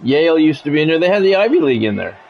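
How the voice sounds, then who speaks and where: A man's voice: on the high side, big pitch swings, rapid-fire, vocal fry, a faint crowd, slight background noise. A man livestreaming a game, mixing casual, opinionated chat with sports play-by-play commentary. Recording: dull lo-fi audio, music behind. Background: music.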